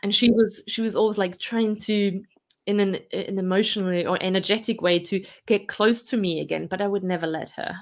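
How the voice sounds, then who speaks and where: A woman in her forties from Austria speaks as a podcast guest, telling her own story in a reflective way with soft laughs and fillers. Her pitch sits in a middle range, neither high nor low. She talks candidly and keeps going through long stretches. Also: steady tone, fairly quick. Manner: reflective, laughs softly between hard memories, many fillers.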